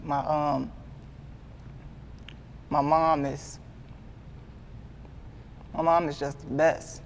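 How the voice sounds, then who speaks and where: A man in his twenties giving a candid sit-down interview, earnest and halting, often repeating himself as he restarts. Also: fairly high pitch, a little hiss or hum. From Midwestern US. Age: 20s. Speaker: guest artist.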